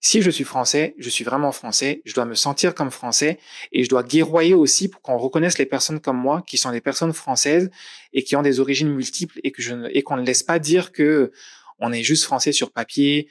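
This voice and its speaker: A man's voice: medium pitch, no echo, studio quality. Thoughtful man. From Mali. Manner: thoughtful, measured, with frequent hesitant fillers. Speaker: psychologist interviewee. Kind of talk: reflective interview answer.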